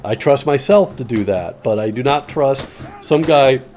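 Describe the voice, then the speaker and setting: A man's voice: mid-range voice, lively intonation, a little slow, darkish tone, soft background music. A man streaming a shooter game, rambling in casual commentary with plenty of swearing and answering his chat.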